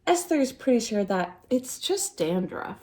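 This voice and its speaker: High, animated woman reading a storybook. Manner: theatrical, drawn-out emphasis on key words. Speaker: storytime reader at a dance centre. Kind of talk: expressive children's picture book read-aloud.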